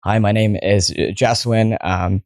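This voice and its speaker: Young man with a low voice, dry sound. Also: steady tone, a little slow, dry recording. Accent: American. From born in Saudi Arabia, raised partly in New Jersey. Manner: many fillers and restarts, storytelling. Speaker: guest. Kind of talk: casual interview talk.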